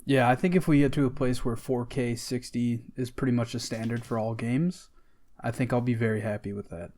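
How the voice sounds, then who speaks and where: Casual man with a mid-pitched voice. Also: fairly even intonation, a little fast, a little mellow. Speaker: podcast co-host. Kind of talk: casual chat.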